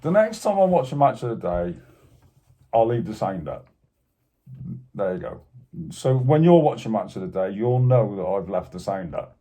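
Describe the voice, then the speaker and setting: A Scottish man trading blunt, teasing football opinions with a co-host. His husky, mellow voice swings up and down a lot in pitch as he talks. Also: very animated, normal speed, pauses often. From Scotland. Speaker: podcast co-host. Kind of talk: casual football chat.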